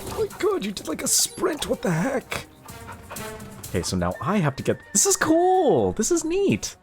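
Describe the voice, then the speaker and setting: A man casually commenting while playing a game, gasping and jumping high in pitch when surprised. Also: huge pitch swings, moderate pace. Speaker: streamer. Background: game music.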